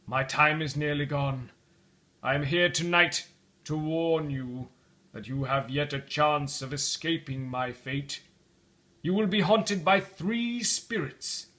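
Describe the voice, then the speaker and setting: A man's voice: on the high side, slow, bright, forceful tone. A man voicing a grave, urgent ghost in chains, solemn and commanding, in a dramatic storybook reading. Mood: urgent warning. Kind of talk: dramatic storybook read-aloud.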